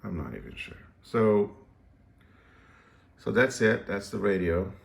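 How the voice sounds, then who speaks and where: A man giving a casual, unscripted show-and-tell as a hobbyist, hesitant and halting as he thinks aloud. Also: on the low side, sing-song, gravelly. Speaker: radio hobbyist presenting a project.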